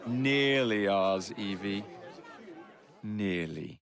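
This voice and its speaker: A man's voice: lowish voice, animated, extremely slow, a bit bright, faint music behind, some chatter behind, exaggerated voice. A man acting a cocky young Victorian adventurer in a video game, with an English accent and wry, dismissive delivery. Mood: needling. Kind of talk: video game cutscene dialogue.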